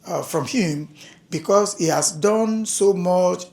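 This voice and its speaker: Gravelly guy from africa talking to a community group, halting, repeats himself. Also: high-pitched, darkish tone. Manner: halting, repeats words, laughs between points. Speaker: community speaker. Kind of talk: community dialogue remarks.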